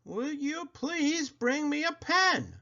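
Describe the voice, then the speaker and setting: Storybook rabbit dad voiced by a man: emphatic, instructing, forceful, raised pitch, dull recording. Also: sing-song, slow, full character voice. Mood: insistent. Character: insistent father rabbit asking and warning his son.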